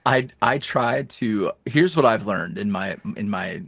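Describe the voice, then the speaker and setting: A man's voice: on the low side, animated, a little slow, fairly bright tone, dry recording. A man chatting casually as a podcast guest, in a folksy, self-deprecating and jokey manner. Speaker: interview guest, online audio roleplay creator.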